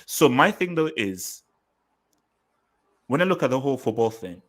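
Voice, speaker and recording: A man who grew up in Nigeria and England, co-hosting a podcast and thinking aloud in halting, restarting sentences. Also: mid-range voice, big pitch swings. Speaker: podcast co-host. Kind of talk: casual conversational podcast chat.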